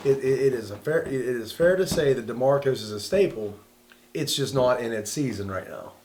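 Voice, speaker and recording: A man's voice: medium pitch, fairly quick, darkish tone. Laid-back man, faint background noise. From southwestern Pennsylvania. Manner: laid-back, stammers when starting a thought. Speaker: podcast co-host. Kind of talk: casual chat.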